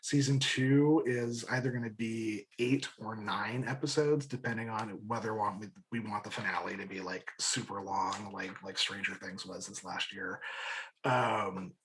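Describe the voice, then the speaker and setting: A man's voice: fairly low pitch, fairly bright tone, poor audio. Rambling, enthusiastic man chatting informally. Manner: rambling, halting, repeats words and restarts sentences often. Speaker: guest, audio drama creator. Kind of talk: casual interview chat.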